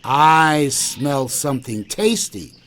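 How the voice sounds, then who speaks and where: Man playing a booming, proud lion king. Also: sing-song, takes it slowly, a bit bright, a little hiss or hum, exaggerated voice. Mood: pleased. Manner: boastful, booming. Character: proud, hot-tempered male lion, king of the forest.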